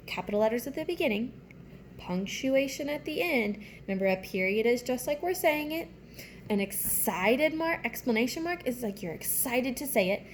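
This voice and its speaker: A woman elementary teacher reading aloud and explaining things simply to young children. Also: a little high. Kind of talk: children's read-aloud and writing lesson. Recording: faint background noise.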